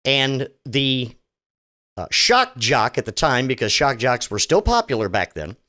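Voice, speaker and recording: A man's voice: medium pitch, big pitch swings, unhurried, sharp tone. A middle-aged man hosting a solo podcast, talking casually and sarcastically, rambling with many restarts. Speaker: podcast host.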